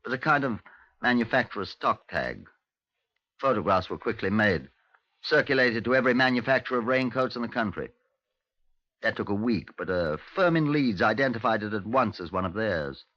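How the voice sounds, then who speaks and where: An actor playing a brisk, methodical British police inspector in a 1950s radio drama, with a clipped, formal, measured delivery. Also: male voice, on the low side, fairly bright tone, no echo. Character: brisk, methodical male Scotland Yard inspector. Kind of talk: 1950s radio drama acting, narration and dialogue. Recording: dull, lo-fi 1950s radio recording.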